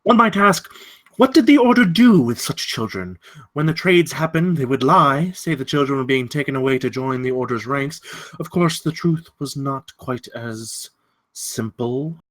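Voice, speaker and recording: An actor playing a menacing, courteous gentleman villain in a script table read, telling his tale in deliberate, ornate, theatrical phrasing. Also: medium pitch, lively intonation, natural voice. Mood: sly storytelling.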